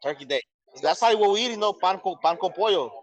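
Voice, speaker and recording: A man from El Salvador joining a casual video call, talking quickly with lively jokes. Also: sits high. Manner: rapid, animated and joking. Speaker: incarcerated guest on a video call. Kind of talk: casual chat.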